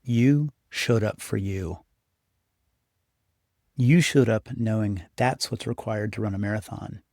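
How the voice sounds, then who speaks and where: A man with a low, gravelly voice leads a guided visualization in a close, dry recording. He addresses the listener directly, giving instructions and encouragement in an unhurried, scripted delivery. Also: fairly even intonation, a little mellow, no room sound. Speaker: meditation guide. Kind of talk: guided meditation, scripted narration.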